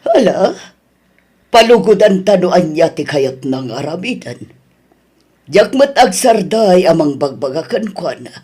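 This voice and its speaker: A woman's voice: on the low side, big pitch swings, measured pace, warm tone, slightly rough, full character voice. A woman with an Ilocano accent playing a stern, hurt middle-aged mother in an audio drama.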